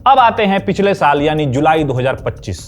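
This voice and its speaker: A man's voice: fairly high pitch, very animated, a little fast. A man with an Indian accent delivering scripted explainer commentary in an emphatic way, with rhetorical questions. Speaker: video essay host. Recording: music behind the voice. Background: background music.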